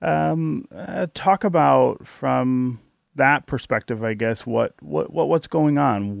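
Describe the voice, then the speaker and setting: A male interviewer asking questions in a deliberate way, with hesitant fillers. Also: medium pitch, vocal fry, no echo.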